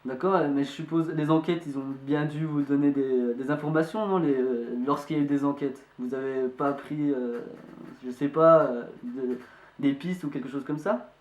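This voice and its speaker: A man's voice: mid-range voice, steady tone, darkish tone, lightly acted. Man acting as amateur investigator, hesitant, courteous, insistent, roomy echo. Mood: polite, insistent. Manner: polite, halting, hesitant. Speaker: amateur investigator presenting a video. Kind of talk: hesitant spoken phone inquiry. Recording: echoey room.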